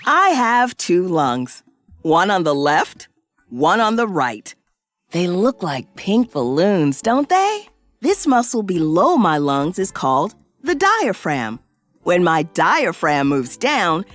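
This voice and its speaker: A woman playing a cheerful, excitable science professor who explains things in an exaggerated, sing-song way for young children in an educational cartoon. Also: fairly low pitch, slow. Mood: upbeat, explaining. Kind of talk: scripted educational cartoon narration.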